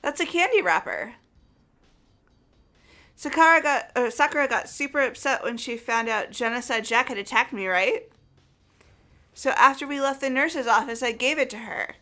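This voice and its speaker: A woman's voice: sits high, moderate pace, pauses often, bright, forceful tone. A woman playing a perky, candid teenage girl in a voiced video game, with music underneath. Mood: candid, upbeat. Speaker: player voicing a game character. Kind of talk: voiced let's play, reading game script.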